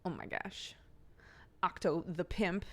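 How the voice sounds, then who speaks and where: A woman's voice: fairly low pitch, fairly even intonation, takes it slowly, a bit bright. A woman streamer with a slightly phlegmy throat, chatting casually, laughing often and thanking subscribers one by one. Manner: giggly, laughs often between lines.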